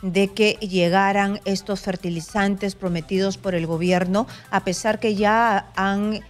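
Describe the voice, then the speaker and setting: A middle-aged woman anchoring a news interview from a studio, asking brisk, direct questions. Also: medium pitch, steady tone, faint music behind. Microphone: desk mic.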